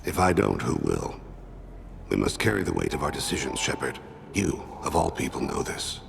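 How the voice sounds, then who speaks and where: A grave alien assassin in a video game, his voice extremely low and heavily gravelled. He speaks with measured formality, like a regretful father weighing old choices. There is clear background noise behind him. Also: lively intonation, full character voice. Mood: mournful, reflective. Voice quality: very deep, gravelly. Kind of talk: dramatic video game acting.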